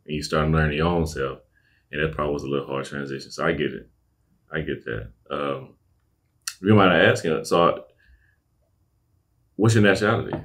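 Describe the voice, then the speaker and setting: Young guy hosting a podcast, super deep low voice, easygoing, keeps saying okay okay. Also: moderate pace, long pauses, a bit bright. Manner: laid-back, frequent affirmations like "okay, okay" and "I got you". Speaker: podcast host. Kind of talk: casual conversational interview.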